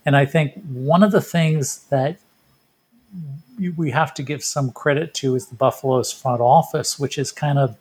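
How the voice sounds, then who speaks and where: A husky, slightly rough-edged male voice at a middling pitch. He talks casually as a sports podcast co-host, reflective and conversational, working out his thoughts as he speaks. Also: fairly even intonation, normal speed, darkish tone. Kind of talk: casual baseball commentary.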